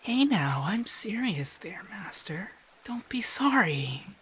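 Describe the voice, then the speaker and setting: A man voicing an affectionate, teasing femboy monster companion in a soft, breathy, shyly stammering ASMR roleplay. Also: sits high, very animated, slow, a little hiss or hum, full character voice. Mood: tender, reassuring.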